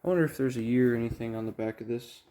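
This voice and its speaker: A man with a soft-edged, relaxed voice talks casually through items as he handles them. He speaks at a middling pitch and often halts to think aloud, like a hobbyist collector hosting his own video. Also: racing. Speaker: record collector, video host. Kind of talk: casual unboxing commentary.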